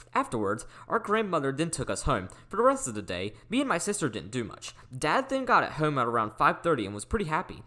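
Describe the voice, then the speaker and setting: Teen guy doing scripted storytime narration, talks quick, super up and down pitch, speaks up clear. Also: fairly bright tone. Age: teens, almost seventeen. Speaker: YouTuber telling personal stories. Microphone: recorded at a computer or phone.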